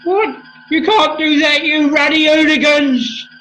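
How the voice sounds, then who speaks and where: An actor playing an irate busybody in a comic radio play, spluttering belligerent complaints. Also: male voice, very high-pitched, measured pace, sharp tone, echoey room, a little hiss or hum, exaggerated voice, poor audio. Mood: outraged. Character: shouty, irate, indignant English male busybody.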